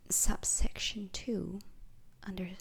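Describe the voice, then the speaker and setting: A woman speaks in a breathy whisper, very slowly and evenly, as a calm instructor in an ASMR roleplay. Her phrases are short and deliberate, with pauses between them. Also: mid-range voice. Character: calm, formal instructor briefing space crew trainees.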